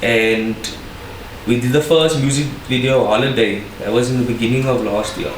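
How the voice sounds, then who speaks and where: A man's voice: medium pitch, fairly even intonation, moderate pace. A young man from South Africa with a Cape Town accent, a rapper talking casually as a podcast guest, slangy and laughing often. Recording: echoey room with noise.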